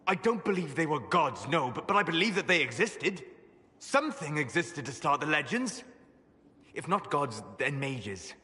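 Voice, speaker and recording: An actor playing a solemn, melancholy elven mage in a video game, speaking in a measured, formal, earnest way. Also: male voice, on the low side, a bit bright, husky. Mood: urgent, grave. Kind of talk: dramatic video game dialogue. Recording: noisy, long reverberant hall.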